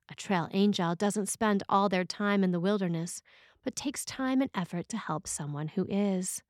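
A woman with a mid-range voice delivers a prepared sermon. Her reading is measured and reflective. The recording is dry, with very little room sound around her. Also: medium pitch, no echo. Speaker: preacher reading a written sermon.

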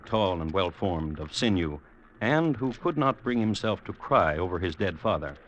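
A man hosting and narrating in 1950s radio style, with a dry, droll, deliberate, mock-formal delivery. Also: low-pitched, sing-song, fairly bright tone, muffled recording, a little hiss or hum. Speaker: host and narrator. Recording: old radio recording with music behind. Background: music.

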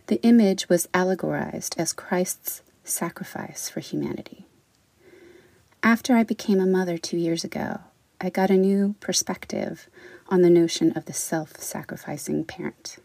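Woman, poetry reading, lowish creaky voice, deliberate, articulate. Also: dark tone, dry recording. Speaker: poet, writer. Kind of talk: spoken introduction and poetry reading.

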